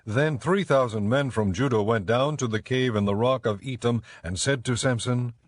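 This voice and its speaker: A man narrating scripture for a dramatized audiobook in a measured, solemn, scripted style. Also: medium pitch, dry recording, studio quality.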